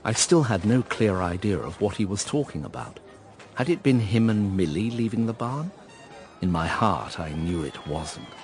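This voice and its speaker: An actor playing a brooding, wistful man who narrates a radio drama in a measured, reflective way. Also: fairly low pitch, lively intonation, faint music behind, lightly acted. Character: brooding, wistful male narrator looking back on lost love.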